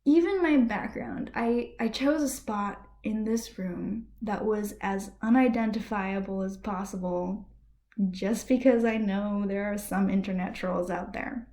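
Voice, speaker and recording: A woman vlogger speaking to camera from a room at home, giving impassioned personal commentary in a ranty, earnest way that dips into soft, breathy asides. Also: medium pitch, unhurried. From United States.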